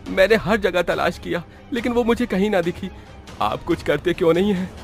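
A man plays an anxious cartoon villager who pleads and complains. His voice is raised in pitch, over soft music. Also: moderate pace, vocal fry. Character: worried male villager pleading for help.

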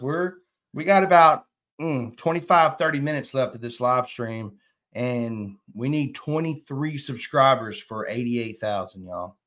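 A man's voice: medium pitch, a bit bright. A man, casually hosting a fishing live stream and reading viewer comments aloud from a small indoor room. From Texas. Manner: chatty, drawling, reads viewer comments aloud. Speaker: fishing channel host. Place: indoor room, plain wall.

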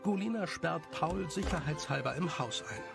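A man reading scripted story narration as the narrator, with a creaky voice. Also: a little high, expressive, moderate pace, over loud music.

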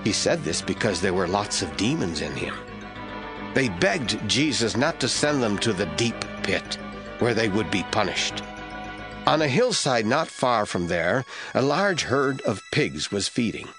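A man narrating a Bible audio drama as scripted, expressive storytelling. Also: medium pitch.

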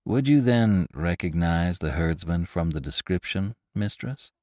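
A cautious young herdsman who speaks low, soft and measured, as a male narrator puts him on. The read is dry and close to the mic. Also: no echo. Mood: calm. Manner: quiet, calm, measured.

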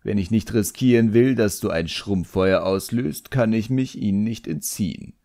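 A man's voice: fairly low pitch, normal speed, gravelly, mellow. A man voicing a sardonic, cunning ancient djinn who grumbles and mocks, in an audiobook. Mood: grudging. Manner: sarcastic, grumbling. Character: sardonic, ancient male djinn, cunning, grudgingly obedient servant.

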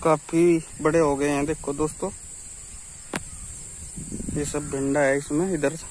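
A young man, talking in a slightly high voice as he walks through farmland. He chats easily and keeps turning to his viewers as friends. His camera mic picks up faint rural sounds behind him outdoors. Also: little pitch movement, unhurried, a little mellow, slight background noise, poor audio. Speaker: village vlogger. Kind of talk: casual walking tour vlog.

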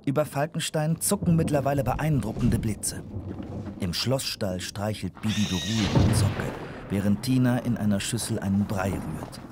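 A man's voice: fairly low pitch, expressive, normal speed, very noisy. A man narrating a children's radio play from a script.

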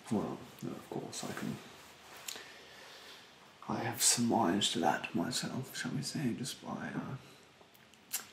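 A young man playing a rude, condescending facial therapist in an ASMR roleplay, speaking softly and breathily in a haughty, halting manner. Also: lowish voice, very animated, roomy sound, a little hiss or hum, heavily acted. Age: young adult. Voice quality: soft, breathy, partly whispered. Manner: haughty, halting, drawn-out delivery. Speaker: ASMR performer playing a beautician. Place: bedroom, indoors.

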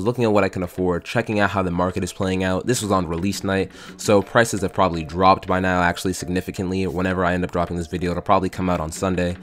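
A young man giving casual voice-over commentary on his game footage, rambling with lots of fillers and restarts. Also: low-pitched. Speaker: gaming YouTuber.

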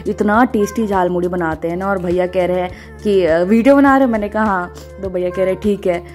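A woman's voice: on the low side, steady tone, brisk, darkish tone. A woman, narrating a travel vlog in a casual, chatty way as she talks to her viewers. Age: 20s. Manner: chatty, informal, addressing viewers. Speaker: travel vlogger. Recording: music under the voice. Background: background music.

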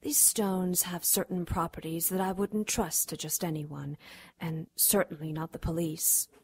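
An actress playing a mysterious, self-assured seductive client in a noir radio drama, speaking in a formal, measured, commanding way with a husky voice. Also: female voice, fairly low pitch, steady tone, a little mellow. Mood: cool, persuasive.

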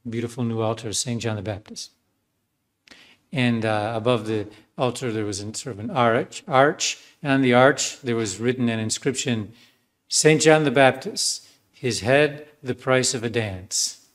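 Priest guy giving a sermon, storytelling, lots of ums, some sarcasm. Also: medium pitch. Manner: storytelling with ironic asides, conversational with many fillers.

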